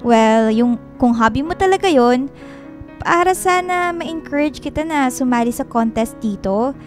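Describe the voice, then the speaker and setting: A woman's voice: high voice, lively intonation, measured pace. A woman playing a bubbly, teasing, sweet young female student in a Taglish radio drama, with a playful, coaxing delivery. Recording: music bed behind dialogue.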